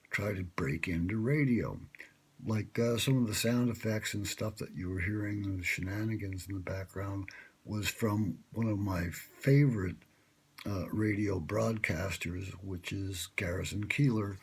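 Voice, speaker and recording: A man with a low-pitched, husky voice rambles through a late-night monologue, full of ums and self-mocking asides. He sounds close to the phone he records on. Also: a little mellow. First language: English. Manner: meandering, wry, self-mocking, many fillers. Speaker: vlogger pitching a radio show. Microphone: smartphone held close.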